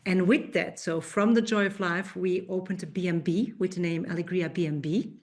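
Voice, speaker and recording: A woman's voice: on the low side, steady tone, brisk, a little mellow. A woman in her fifties from Belgium, a coach telling her story in a podcast interview, friendly with small restarts. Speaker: guest, coach and speaker.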